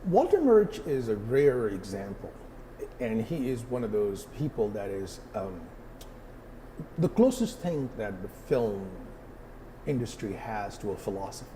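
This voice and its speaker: Middle-aged guy from pakistan, mellow low-ish voice, lots of ums, slight hiss behind. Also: very animated, measured pace, poor audio. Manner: thoughtful, with frequent um and uh fillers and restarts. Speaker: interview guest, photographer. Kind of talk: reflective interview answers.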